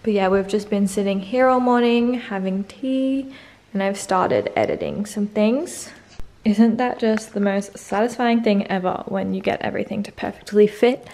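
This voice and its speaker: A chatty young woman talks to her camera with easy giggles. Her voice sits at an ordinary pitch. The room around her rings a little. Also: animated, normal speed, dark tone, roomy sound. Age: 20s. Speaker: travel vlogger. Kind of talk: casual vlog chat to camera. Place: holiday rental house, indoors. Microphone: handheld camera at arm's length.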